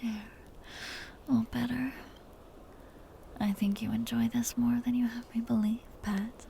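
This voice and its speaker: A woman voicing a possessive, tender vampire countess in a soft, breathy whisper, in an intimate ASMR roleplay with slow, soothing, teasing endearments. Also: on the high side, little pitch movement, slight background noise. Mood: playful possessive.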